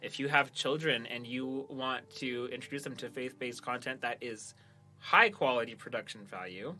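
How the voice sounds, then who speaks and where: Bright, forceful man bantering over music. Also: medium pitch, moderate pace. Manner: jokey, self-deprecating, laughs often. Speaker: podcast host and producer. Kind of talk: casual banter, reading listener reviews.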